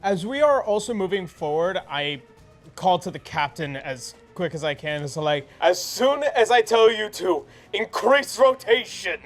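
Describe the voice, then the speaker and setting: A man's voice: sits high, lively intonation, measured pace, soft background music. A man playing a tabletop role-playing game, bantering casually and declaring moves.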